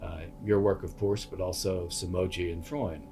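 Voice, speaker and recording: Low-pitched male interviewer. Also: steady tone, normal speed, mellow, soft background music. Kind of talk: prepared interview questions.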